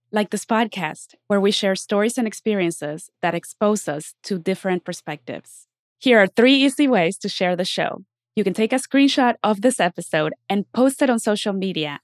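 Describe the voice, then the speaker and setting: A woman speaking as a podcast host, upbeat and expressive, addressing people directly in a conversational way. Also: medium pitch, dry recording, studio quality. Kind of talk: podcast intro and interview chat.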